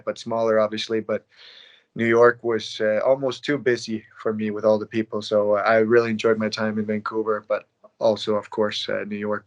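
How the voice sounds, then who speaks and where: A man in his late twenties from Denmark speaks in a low, very even voice. He answers interview questions casually, with a laid-back, quiet manner, as a professional athlete would. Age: late 20s. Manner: laid-back, not loud. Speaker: professional ice hockey player being interviewed.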